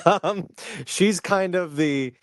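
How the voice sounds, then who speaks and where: A man co-hosting a podcast in casual, profane chat, halting and circling back to rephrase. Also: high voice, dry recording, studio quality. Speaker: podcast co-host.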